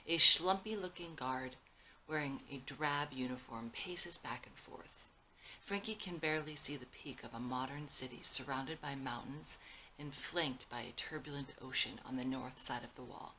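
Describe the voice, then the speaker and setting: Low, bright-voiced woman reading a screenplay. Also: moderate pace, a little hiss or hum, low-quality recording. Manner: reads scene headings and stage directions. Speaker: screenwriter reading own script. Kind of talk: scripted screenplay narration.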